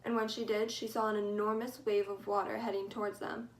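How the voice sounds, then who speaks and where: A teenage girl giving a mock-serious school presentation, telling a made-up story in a deadpan, mock-formal way. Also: medium pitch, brisk, poor audio. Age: teens. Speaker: student presenter.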